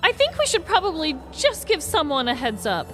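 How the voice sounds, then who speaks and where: A man voicing a nervous, timid woman trying to steal a car in a falsetto. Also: wildly swooping pitch, normal speed, pushed and bright, soft background music, full character voice. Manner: high, hesitant, whiny falsetto.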